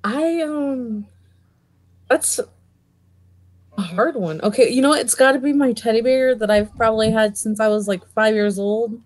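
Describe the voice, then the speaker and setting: A woman in her twenties co-hosting a casual podcast, laid-back and unhurried. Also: fairly high pitch, darkish tone. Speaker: podcast co-host. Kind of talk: casual chat.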